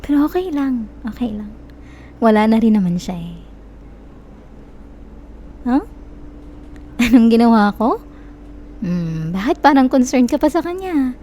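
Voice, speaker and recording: Woman acting a soft, mellow possessive Filipino stalker girl. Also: a little high, big pitch swings, a little slow, pauses often, slight background noise. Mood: quietly menacing. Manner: soft, coaxing, teasing, quietly menacing. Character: possessive, manipulative young female stalker, obsessively in love. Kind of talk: Tagalog-style ASMR roleplay, intimate close address.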